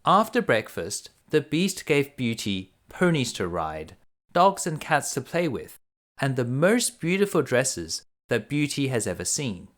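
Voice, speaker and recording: A man reading a children's fairy tale aloud as narrator, in an expressive storytelling manner. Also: medium pitch, unhurried. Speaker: bedtime story reader.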